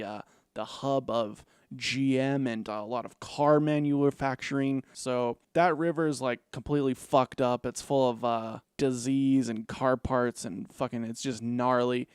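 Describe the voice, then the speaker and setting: A man's voice: mid-range voice, darkish tone, clean tone, dry recording, clean studio sound. A man hosting a casual film review, rambling and profane, with lots of "like" fillers. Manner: rambling, profane, laid-back with frequent "like" fillers.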